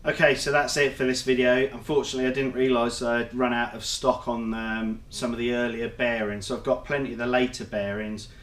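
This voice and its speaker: Man, stuffy nasal voice from a cold, flat steady delivery, roomy workshop echo. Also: mid-range voice, fairly quick, few pauses. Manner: methodical, explanatory, with fillers. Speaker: motorcycle engine builder. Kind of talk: workshop how-to vlog.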